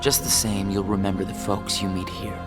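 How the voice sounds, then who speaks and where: An actor, a man, playing a calm, aloof, mysterious figure in a video game, measured and enigmatic. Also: sits low, over loud music, natural voice. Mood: detached, explanatory. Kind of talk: video game cutscene acting.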